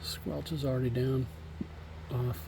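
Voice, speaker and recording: A man with a gravelly, creaky voice walks through a casual product demo. He talks plainly and haltingly, without a script, as someone selling used gear. The phone recording carries faint background noise. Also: mid-range voice, fairly even intonation. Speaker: seller demonstrating a used CB radio.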